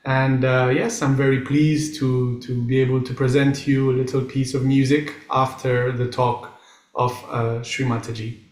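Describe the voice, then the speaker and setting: A man's voice: mid-range voice, flat delivery, a little slow. A man from Switzerland politely introducing himself as a guest presenter over a video call. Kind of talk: brief self-introduction. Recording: echoey room.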